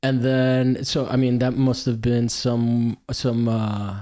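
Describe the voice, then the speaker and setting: A man hosting a podcast interview, asking questions in an easygoing way with halting restarts. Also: medium pitch, completely flat delivery, dark tone. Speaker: podcast host. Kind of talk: casual interview conversation.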